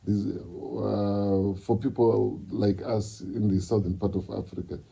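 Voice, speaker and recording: Man, very dark tone, husky, low-pitched, emphatic interview guest. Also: flat delivery, slow. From Zimbabwe. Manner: emphatic, lecturing. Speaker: guest musician and producer. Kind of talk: candid interview talk.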